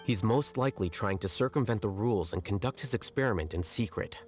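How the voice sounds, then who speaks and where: An actor playing an aloof, coolly logical scholar in a video game, speaking in a measured, matter-of-fact and condescending way. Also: male voice, on the low side, close to an everyday voice. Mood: explaining coolly. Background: game music.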